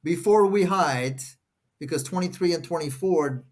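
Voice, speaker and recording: Halting man, Portuguese his first language. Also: fairly high pitch. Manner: halting, stops to question each phrase. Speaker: co-host reading scripture. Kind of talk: casual discussion, reading verses aloud.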